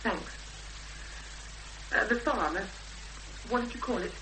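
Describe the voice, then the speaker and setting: A woman's voice: mid-range voice, expressive, measured pace, natural voice. An actress playing a determined, sceptical woman with an English accent and a terse, dry manner in a 1970s radio drama. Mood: probing. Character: determined, sceptical Englishwoman investigating her sister's disappearance. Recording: old radio recording, hum and loud noise.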